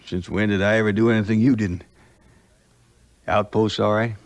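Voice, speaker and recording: A man's voice: on the low side, steady tone, normal speed, natural voice. A man playing a loyal backwoods scout and sidekick, with a rustic Southern drawl, in a 1950s radio-style adventure. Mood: companionable. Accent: American Southern. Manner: rustic, plain-spoken drawl.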